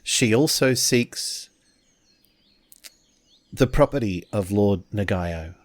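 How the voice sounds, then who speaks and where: Slow, low-voiced man roleplaying. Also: lively intonation, no echo. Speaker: tabletop game player. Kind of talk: in-character roleplay.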